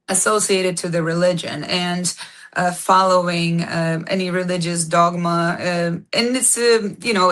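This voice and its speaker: A young woman from Poland, a band singer chatting in an interview, often pausing on "uh" and "um" as she looks for words. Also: fairly low pitch, fairly bright tone, rough recording. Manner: frequent "uh" and "um", searching for words. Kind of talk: casual interview chat.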